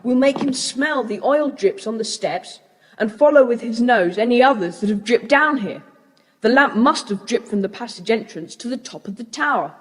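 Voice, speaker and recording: A woman's voice: fairly high pitch, animated, moderate pace. Confident boy leader, echoing, British. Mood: commanding, excited. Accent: British English. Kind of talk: children's audiobook drama acting. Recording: hissy cassette tape, long reverb.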